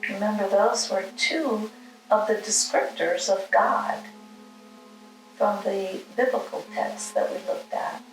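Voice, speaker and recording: A woman leading a guided meditation, giving soothing, gentle instructions in short, spaced phrases. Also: medium pitch, steady tone, takes it slowly. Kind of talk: guided meditation, spoken slowly with long pauses. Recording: roomy, distant mic, music underneath.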